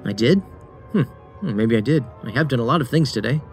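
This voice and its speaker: A man plays a stubborn federal agent in a science fiction audio drama. His mid-range voice is dry and matter-of-fact, deadpan throughout, with faint music underneath. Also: medium pitch, a little fast, close to an everyday voice.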